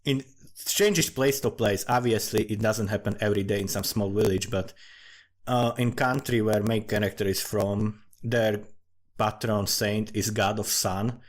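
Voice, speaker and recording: A man's voice: lowish voice, fairly even intonation, measured pace. A man giving a rambling, informal commentary on a fantasy world he is writing, swearing freely and often restarting his phrases. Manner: profane, informal, thinking aloud. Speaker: amateur fantasy writer.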